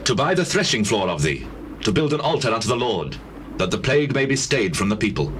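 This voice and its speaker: A man's voice: lowish voice, very animated, a little fast, fairly bright tone. An actor playing a penitent, grave king of ancient Israel in a dramatized scripture, speaking in solemn, pleading archaic phrases. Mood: resolute. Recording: noisy old cassette tape.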